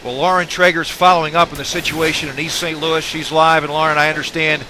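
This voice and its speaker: A man's voice: fairly high pitch, little pitch movement, quick pace, noisy background. An American man anchoring television news in a scripted broadcast style, introducing reports.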